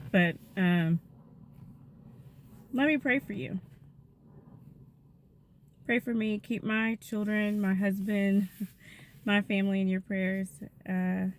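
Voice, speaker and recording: Gentle, chatty woman in her fifties. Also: mid-range voice, a little hiss or hum. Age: 50s. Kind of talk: casual devotional talk to viewers.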